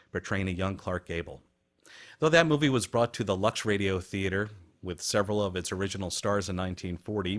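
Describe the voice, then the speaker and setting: A male radio host delivers scripted documentary narration. His voice sits low.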